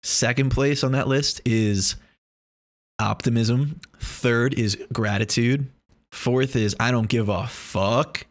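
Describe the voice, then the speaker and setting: A man's voice: medium pitch, steady tone, takes it slowly, dry recording. A man, rambling and joking in a casual vlog monologue, boastful and swearing freely. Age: 20s. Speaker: vlogger. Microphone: lav or shotgun mic.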